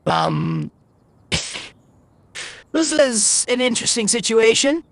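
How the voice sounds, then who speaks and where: A woman playing a video game and giving casual, halting reactions with dry, muttered understatement. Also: fairly high pitch, huge pitch swings, no room sound. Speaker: gaming streamer.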